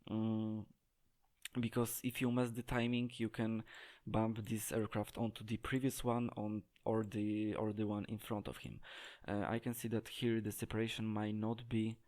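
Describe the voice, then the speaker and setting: A man giving an unscripted gameplay tutorial, talking in a hesitant, halting way as he searches for words. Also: on the low side, flat delivery, a little mellow. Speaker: game tutorial presenter.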